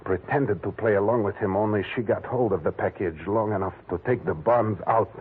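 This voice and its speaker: Male actor as cornered small-time crook, blunt and slangy, edgy, low-leaning voice, muffled vintage radio. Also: a little fast, natural voice. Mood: tense, explaining. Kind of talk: 1940s radio crime drama acting. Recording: muffled, faintly noisy old radio recording.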